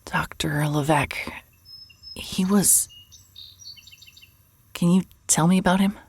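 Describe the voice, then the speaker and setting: An actress playing a weary, guarded woman in an audio drama, speaking in a hushed, breathy, halting way. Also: lowish voice, steady tone, slight background noise. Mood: hesitant, sorrowful. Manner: hesitant, subdued, halting. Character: weary, guarded, apologetic woman, quietly grieving.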